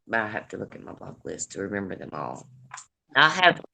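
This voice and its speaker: An older woman with a deep raspy voice and a Deep Southern accent, hosting a casual livestream chat in a blunt, profane, gossipy way. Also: sing-song, normal speed, bright, forceful tone. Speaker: livestream host. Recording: noisy livestream audio.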